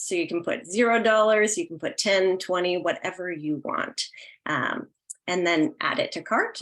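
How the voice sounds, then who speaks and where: A woman's voice: on the low side, a bit bright, poor audio. A woman hosting an online event in a casual, instructional way. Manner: informal, instructional. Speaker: host.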